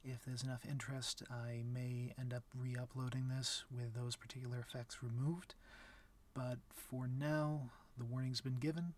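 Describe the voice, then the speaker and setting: A man speaking softly as an ASMR performer, giving a plain introduction to his audio roleplay. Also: medium pitch, flat delivery, normal speed. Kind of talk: soft-spoken ASMR introduction. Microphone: close, dry microphone.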